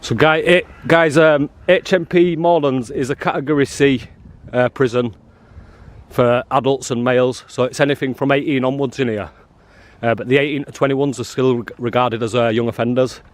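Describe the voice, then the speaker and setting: A man filming outside a prison, talking loudly and bluntly to the officers and to his viewers. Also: medium pitch, fairly even intonation, moderate pace. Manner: loud, blunt and challenging, calls people "love" and "mate". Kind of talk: confrontational street filming with commentary to viewers.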